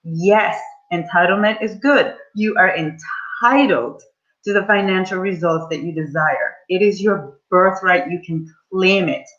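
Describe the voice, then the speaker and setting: A woman's voice: lowish voice, unhurried, fairly bright tone, dull audio. A woman in her forties, a money mindset coach, leading a live online coaching session and speaking to her audience in an upbeat, encouraging way. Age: 40s.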